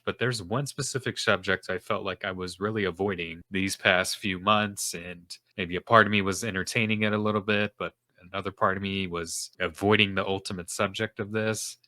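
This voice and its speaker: A young man talks into a podcast microphone in a low, deep register, his tone bright and forceful. His nose is stuffed up, and he rambles earnestly with plenty of fillers as a self-esteem coach hosting his own show. The sound is dry and close, with no room echo. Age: young adult. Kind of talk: casual solo podcast monologue.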